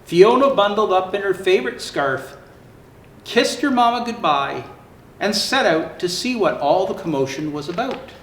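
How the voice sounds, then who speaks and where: A man plays a jolly old Santa Claus reading a children's storybook. His voice sits high and swoops with theatrical expression. He takes his time, and the room adds a clear echo. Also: expressive, normal speed, a bit bright. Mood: jolly, storytelling. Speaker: storyteller reading picture books aloud. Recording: roomy echo, faint background noise.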